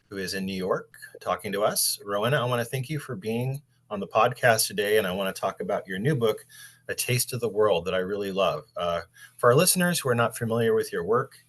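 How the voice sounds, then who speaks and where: A man's voice: lowish voice, a bit bright. A man in his sixties, a librarian hosting a podcast, chatting in a rambling, enthusiastic way. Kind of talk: casual interview chat.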